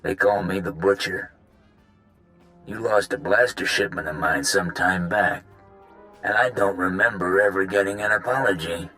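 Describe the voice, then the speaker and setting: A man's voice: deep voice, normal speed, bright, forceful tone, soft background music, low-quality recording. An actor playing a menacing male crime boss in a video game, taunting and threatening. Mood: cold menace. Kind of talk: video game cutscene acting.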